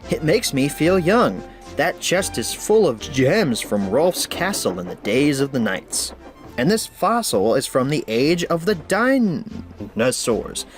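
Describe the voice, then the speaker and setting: A man's voice: fairly high pitch, very animated, takes it slowly, faint music behind. Man playing a cheerful, proud old steam engine.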